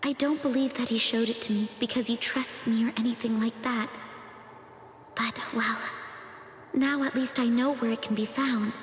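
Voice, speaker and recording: A woman playing a gentle young heroine in an anime game, soft and earnest in an inner monologue with quiet resolve. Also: on the high side, fairly even intonation, moderate pace, slight background noise, lightly acted. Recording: long echoing reverb, faint music.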